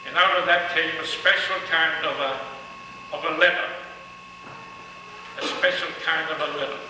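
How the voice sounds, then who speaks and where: Older man from Denmark, fairly high voice, distant mic, noisy hall echo. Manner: unhurried, repeats phrases while searching. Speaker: guest speaker at a ceremony. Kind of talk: ceremonial speech to an audience. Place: large hall. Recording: echoey hall from far off, noisy.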